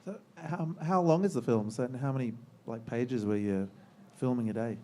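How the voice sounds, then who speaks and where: A man in the audience asking questions, halting and rephrasing as he goes. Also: medium pitch, mellow, a little hiss or hum. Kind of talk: casual question from the floor.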